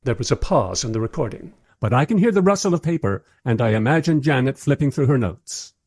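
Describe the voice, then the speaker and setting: A man's voice: mid-range voice, expressive, fairly quick, a little mellow, slightly rough. A man playing a curious narrator in a radio play, confiding wry observations about a recorded interview. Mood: observant.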